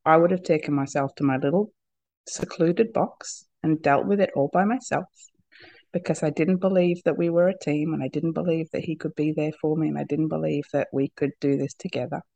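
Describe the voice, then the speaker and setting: Husky woman with a cold, laughing often. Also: deep voice, fairly even intonation, mellow, no echo. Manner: laughs often, halting restarts. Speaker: podcast guest. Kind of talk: candid personal conversation.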